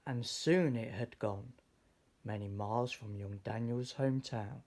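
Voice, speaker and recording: A man reading a rhyming children's story aloud in an expressive, theatrical, measured way. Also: medium pitch. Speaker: storybook reader.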